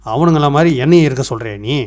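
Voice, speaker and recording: A man voicing a frustrated, stubborn character in an animated moral story, speaking in an aggrieved, emphatic way. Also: on the high side, very fast, lightly acted. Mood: defiant. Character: frustrated, stubborn man defending the work he wants.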